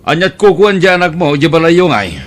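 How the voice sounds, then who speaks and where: A man playing a compassionate, outspoken villager in an audio drama, talking in an expressive, chiding way. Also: a little high, brisk, a little hiss or hum. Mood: concerned, scolding.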